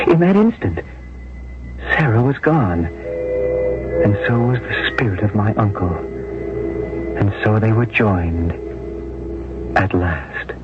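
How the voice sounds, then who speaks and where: An actor playing an earnest, solemn nephew in a 1970s radio drama, speaking in a measured, deliberate way. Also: male voice, lowish voice. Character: earnest nephew narrating a ghostly tale, solemn. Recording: muffled radio broadcast under loud music.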